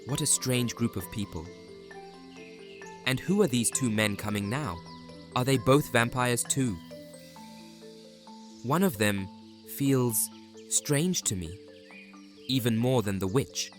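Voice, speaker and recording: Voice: mid-range voice, animated, slow, long pauses, faint music behind, pitched above the performer's own voice. A performer voicing an innocent, puzzled observer who muses aloud in a fantasy audio drama, full of wonder. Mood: wary wonder.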